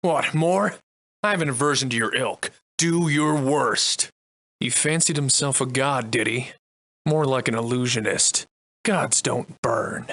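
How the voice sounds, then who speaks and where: A man playing a sardonic, defiant old-fashioned gentleman adventurer in a video game, speaking in florid, archaic, theatrical phrases. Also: medium pitch, unhurried, no echo. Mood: mocking, defiant. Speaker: voice actor.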